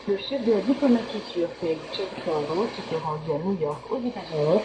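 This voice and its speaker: A woman radio presenter reading a scripted news bulletin in a formal, measured manner, heard over shortwave radio. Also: fairly low pitch. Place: broadcast heard through a shortwave receiver. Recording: noisy, echoing shortwave radio reception.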